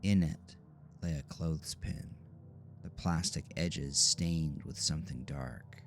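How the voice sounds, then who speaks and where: A man with an extremely deep, creaky voice narrates a horror audio drama. He takes his time, with a measured and ominous storytelling delivery at a slow pace. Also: slight background noise.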